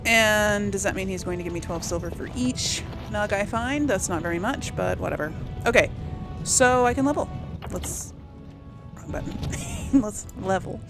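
A woman's voice: medium pitch, lively intonation, moderate pace, music in the background. A woman hosting a casual role-play game series, thinking aloud and chuckling at her own mistakes. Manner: chatty, thinks aloud, laughs at herself. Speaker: let's play host. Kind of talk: casual gameplay commentary.

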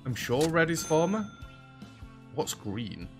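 A young British man with a rather deep voice, chatting casually over a game as host and joking with the characters on screen. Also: sing-song, takes it slowly, over music. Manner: chatty, self-deprecating, talks to game characters. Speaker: gaming video host. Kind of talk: casual let's-play commentary. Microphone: headset.

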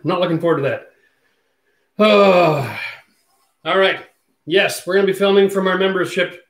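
Chatty man on a livestream, roomy echo. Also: a little high. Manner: chatty, jokey, reads out viewer comments. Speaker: channel host. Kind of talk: casual livestream chat with viewers. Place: home studio room. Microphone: studio mic on boom arm.

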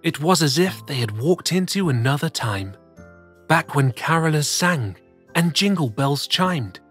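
A man with a slightly high, husky voice reads a children's story aloud. His delivery is expressive and deliberate, leaning into the rhymes. Soft music plays faintly behind him. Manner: theatrical, deliberate rhymed reading. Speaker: storyteller host of a children's read-aloud show.

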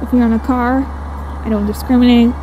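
A woman's voice: on the high side, steady tone, fairly quick, dark tone, dull audio. A woman in her twenties chatting casually and rambling while riding a motorcycle, with a giggly, friendly manner. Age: 20s. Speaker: motovlogger. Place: outdoors on a moving motorcycle. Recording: wind and engine noise.

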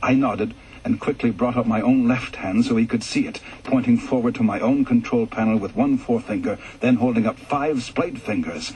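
A man reading a ghost story aloud for radio in a measured, calm way, going soft and low in the reflective passages. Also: poor audio. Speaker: story reader.

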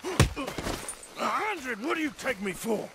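An actor playing a gruff, world-weary American outlaw in a video game, with a blunt, folksy drawl. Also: male voice, very high-pitched, big pitch swings, takes it slowly, very noisy, heavily acted. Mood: stern. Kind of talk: dramatic video game acting.

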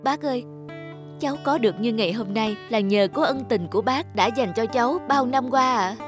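A woman voicing a poor, grateful young male scholar in an animated folk tale, polite and earnest. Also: on the high side, normal speed, natural voice. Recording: music behind the voice.

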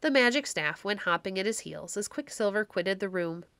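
A woman's voice: medium pitch, expressive, normal speed, a bit bright, no room sound. Woman narrating a storybook, dry sound. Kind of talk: scripted read-aloud narration of a classic tale.

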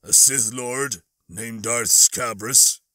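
Audiobook role: man acting alien barfly smuggler, tipsy and slangy, bright-edged voice. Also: medium pitch, takes it slowly, no room sound, clean studio sound, pitched below the performer's own voice. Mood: hazy, compliant. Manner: casual, hesitant, slangy. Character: one-armed male alien smuggler barfly, loose-lipped and tipsy. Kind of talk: audiobook character dialogue.

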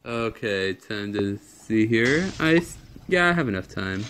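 A man's voice: on the low side, lively intonation, a little slow, fairly bright tone, a little hiss or hum. A young man casually commenting over a game, rambling and joking, mumbling through on-screen text. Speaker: gameplay video maker.

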